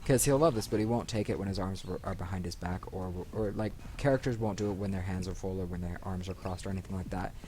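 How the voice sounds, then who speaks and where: A man's voice: sits low, fast talker, hardly any pauses, warm tone, slight background noise. A man hosting a let's play, rambling casually and thinking aloud into a desk microphone. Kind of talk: casual gaming commentary. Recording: music behind the voice. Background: video game music.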